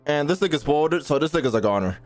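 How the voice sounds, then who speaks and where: A young man playing a video game and reacting loudly, with crude jokes and slang. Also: fairly high pitch, animated, very fast, faint music behind. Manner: loud, crude, joking slang. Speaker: gaming YouTuber. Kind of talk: let's play reactions.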